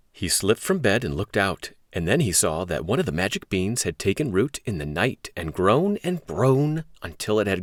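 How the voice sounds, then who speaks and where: Very animated male children's storyteller. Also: lowish voice, no room sound, clean studio sound. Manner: expressive, emphatic. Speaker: children's read-aloud host.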